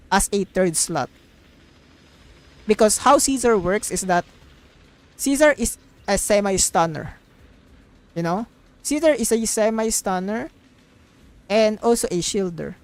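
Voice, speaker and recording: A woman live streamer giving casual, rambling gaming commentary, emphatic and opinionated, repeating phrases to drive points home. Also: medium pitch.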